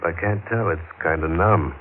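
A man's voice: deep voice, normal speed, lightly acted. A male actor with an American accent playing a menacing, injured gunman in an old-time radio thriller, curt, commanding and sardonic. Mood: feigned weakness. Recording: muffled, noisy old radio recording.

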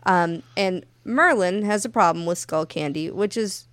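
A woman co-hosting a casual podcast, talking very loudly and telling stories with lively, profane energy. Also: lowish voice, measured pace, dry recording. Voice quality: very loud voice. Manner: animated, profane storytelling. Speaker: podcast co-host.

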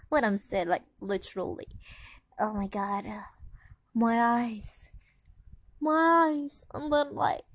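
A woman's voice: medium pitch, dry recording, rough recording. A young woman from Indonesia speaking English with an Indonesian accent, rambling sleepily through a personal podcast with many fillers. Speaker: podcast host.

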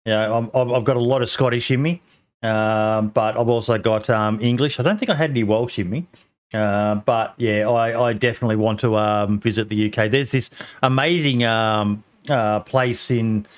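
A man's voice: on the low side, fairly even intonation, moderate pace. Hesitant man hosting a podcast chat. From Australia. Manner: hesitant, many ums and restarts. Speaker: podcast host. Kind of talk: casual chat.

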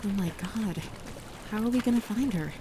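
A woman playing a brisk, dutiful navy ensign in an audio drama, with urgent, clipped delivery. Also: mid-range voice, expressive, background noise, natural voice. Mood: dismayed. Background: music.

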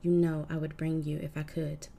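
A woman voicing a lovesick male sea god, reading his lines softly and earnestly. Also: low-pitched, level tone, normal speed, creaky, pitched below the performer's own voice. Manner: soft, earnest storybook reading.

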